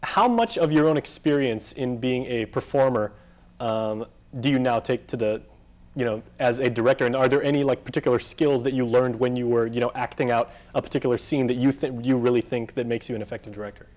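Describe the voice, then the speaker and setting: A man's voice: medium pitch, brisk, darkish tone. A man hosting a news interview in a casual, conversational style.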